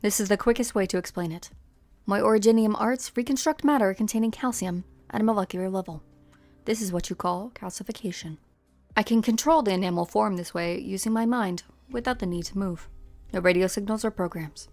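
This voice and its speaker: Woman doing a calm scientist in a game fan dub, measured explaining, dry recording w music under it. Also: medium pitch, no room sound, close to an everyday voice. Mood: calm, matter-of-fact. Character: composed female scientist with mineral powers, coolly explanatory.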